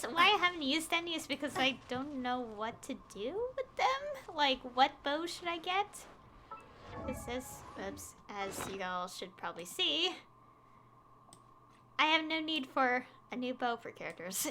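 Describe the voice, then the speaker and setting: Chatty girl streamer w a really high bright voice, rambles and giggles, casual gaming chat. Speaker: game streamer. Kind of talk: casual livestream chat over gameplay, reading game dialogue aloud.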